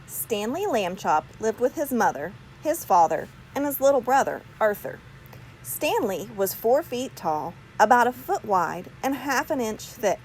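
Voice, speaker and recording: A woman narrates a children's story at a slow pace, with a very lively, swooping delivery. She phrases each piece deliberately and expressively, over faint background noise. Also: fairly high pitch, poor audio. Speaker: storybook reader. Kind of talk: children's read-aloud narration.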